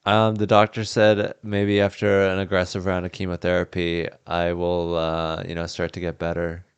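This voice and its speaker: A man hosting a podcast, improvising comedy scenes in a deadpan way and laughing often. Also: low-pitched, moderate pace, clean tone, dry recording, highs cut off. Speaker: podcast host. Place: house.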